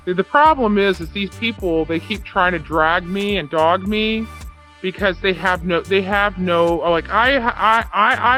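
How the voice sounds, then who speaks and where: A woman's voice: fairly low pitch, fairly bright tone. Self-assured, boastful woman with music behind. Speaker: online true crime commentator and self-styled reporter. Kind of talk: rambling unscripted monologue.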